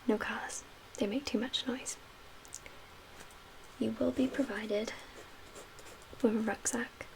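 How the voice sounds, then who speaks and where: Woman as calm female apocalypse survivor leader, ASMR roleplay: half-whispered, lightly high voice, unhurried pauses, guarded but reassuring. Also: poor audio. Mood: calm, instructive. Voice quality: soft, breathy, half-whispered. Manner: hushed, measured, gently reassuring with pauses. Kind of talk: soft-spoken ASMR roleplay. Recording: audible background noise.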